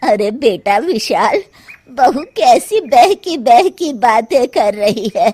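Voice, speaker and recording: A woman's voice: a little high, very animated, takes it slowly, dark tone, breathy edge, full character voice. A woman voicing a harried older mother-in-law in a cartoon story, speaking in a scolding, exasperated, homely way. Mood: worried. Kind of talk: Hindi cartoon story voice acting.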